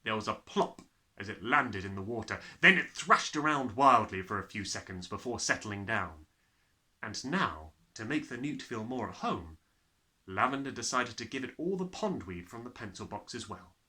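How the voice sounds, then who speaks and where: A man reading a children's story aloud with expressive, dramatic narration. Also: on the low side, moderate pace. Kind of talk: animated scripted narration.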